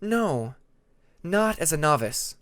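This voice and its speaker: A man voicing a patient, earnest young female dragon who explains her magic in a measured way in an audiobook. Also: fairly high pitch, sing-song, fairly bright tone, heavily acted. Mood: explaining.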